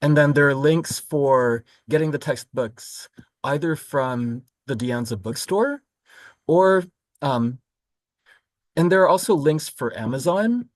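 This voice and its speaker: A man from Florida who teaches college literature, walking students through a course overview in a relaxed, explanatory way with frequent ums and self-corrections. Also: a little high, unhurried, dry recording. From Florida, United States. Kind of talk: recorded course overview lecture.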